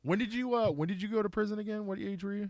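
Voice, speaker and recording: A man's voice: high voice, rapid-fire, a little mellow, dry recording. A man in his thirties co-hosting a casual podcast, loose and playful, laughing often. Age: 30s. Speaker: podcast co-host. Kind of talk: casual chat.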